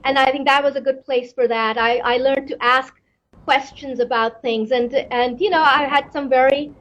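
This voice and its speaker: A woman's voice: sits high, steady tone, moderate pace, fairly bright tone, dull audio. A middle-aged woman from Pakistan, an astrophysicist answering interview questions thoughtfully, often repeating words as she searches for them. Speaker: astrophysicist guest.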